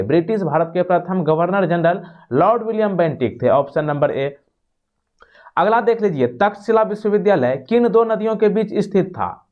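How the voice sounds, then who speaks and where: Higher-pitched man teaching, dull recording. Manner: explanatory, repeats questions and answers. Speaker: exam-prep quiz teacher. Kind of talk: quiz lesson, reading questions then giving answers.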